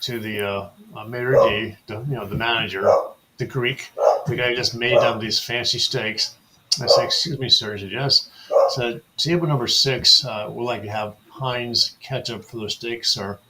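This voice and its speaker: A man telling a story in casual chat as a co-host, halting, with many fillers. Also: mid-range voice, steady tone, a loud crowd, poor audio.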